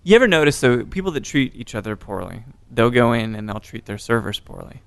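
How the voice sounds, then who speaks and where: A man's voice: mid-range voice, lively intonation, creaky, clear, resonant tone, dry recording. A man hosting a podcast interview, joking and laughing easily in casual chat. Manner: playful, jokey, quick to laugh. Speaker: podcast host.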